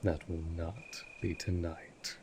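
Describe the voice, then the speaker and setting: A man playing a cold, possessive vampire master in an audio drama, speaking in a formal, commanding, measured way. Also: deep voice, fairly even intonation, noisy background. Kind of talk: scripted roleplay audio drama.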